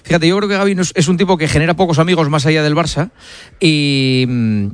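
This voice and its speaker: A man's voice: on the high side, a little fast, no room sound. A man hosting a live sports radio show, interviewing and handing over to reporters.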